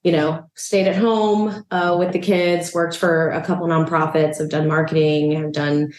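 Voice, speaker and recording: A woman from El Salvador with a notably low voice chats easily in an interview, filling her sentences with "um" and "you know". She sounds like she is in a roomy apartment with echo around her. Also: normal speed. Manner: relaxed, chatty, frequent "um" and "you know". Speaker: real estate agent and investor. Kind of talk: casual interview chat. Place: a rental apartment.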